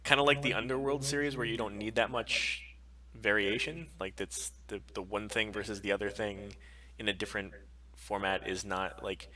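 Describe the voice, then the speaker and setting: A man's voice: lowish voice, fairly even intonation, normal speed, a crowd in the background. A man co-hosting a podcast live stream, chatting casually and bluntly with opinions and plenty of swearing.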